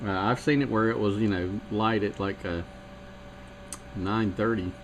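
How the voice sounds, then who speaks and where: A man's voice: on the low side, steady tone, a little slow, noisy background. Chatty man on a staticky CB radio. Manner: rambling, technical, chatty. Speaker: CB radio operator. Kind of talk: casual radio chat.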